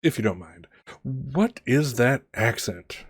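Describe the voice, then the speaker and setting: Man playing a halting, formal gentleman officer. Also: mid-range voice, big pitch swings, husky, no room sound, studio quality, voice pushed up, full character voice. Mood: curious, polite. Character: upper-class English war veteran, officer and gentleman, courteous, fuzzy.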